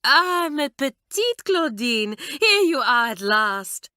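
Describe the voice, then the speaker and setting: An excitable middle-aged schoolmistress who gushes and exclaims, as a woman reads her. The voice rides high and bright. It sits close and dry. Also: slow, no room sound, full character voice. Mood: delighted. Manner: effusive, shrill.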